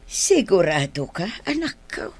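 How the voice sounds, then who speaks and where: A woman playing an elderly, doting and watchful grandmother in a radio drama, husky, with an Ilocano accent. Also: on the low side, very animated, a little slow, darkish tone. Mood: concerned, probing.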